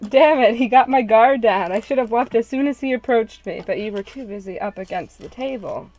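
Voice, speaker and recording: A woman's voice: fairly high pitch, fairly quick, a little mellow, a little hiss or hum, low-quality recording. A woman hosting a group read-along, switching between casual joking chat and story narration, often poking fun at herself.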